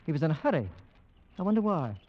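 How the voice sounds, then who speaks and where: High-voiced skeptical English gentleman. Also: big pitch swings, fast. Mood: keen, intent. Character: skeptical, brisk English gentleman and skilled tracker. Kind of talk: 1940s-50s radio drama acting. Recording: dull old radio drama recording.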